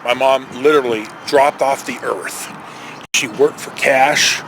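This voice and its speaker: A middle-aged American man telling a personal story casually, in a rambling, reflective, halting way. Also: medium pitch, noisy background. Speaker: storyteller vlogger.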